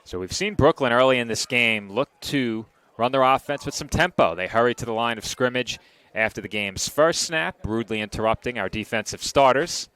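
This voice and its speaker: A man calling a live football game play-by-play, brisk and precise with a lightly wry touch. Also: mid-range voice, animated, a bit bright, clear, resonant tone, dry recording. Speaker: play-by-play announcer.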